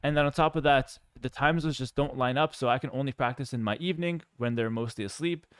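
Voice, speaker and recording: A man's voice: medium pitch, fast talker, a bit bright, clean tone, no room sound, clean studio sound. A man, giving a candid, reflective personal talk and explaining things with frequent conversational asides. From Canada. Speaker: professional gamer and content creator.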